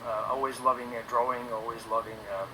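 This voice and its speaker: A man in his forties from France, speaking English with a French accent and as a non-native speaker, talking about his work in a relaxed, halting way full of ums and restarts. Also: mid-range voice, a little hiss or hum, rough recording. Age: 40s. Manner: hesitant, many ums and restarts. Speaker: animation guest on a stream. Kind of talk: casual interview talk.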